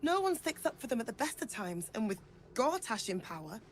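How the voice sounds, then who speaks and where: Troubled priestess, fairly high voice, earnest insistent game acting, faint background ambience. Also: female voice, big pitch swings, a little fast, slight background noise. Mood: bitter concern.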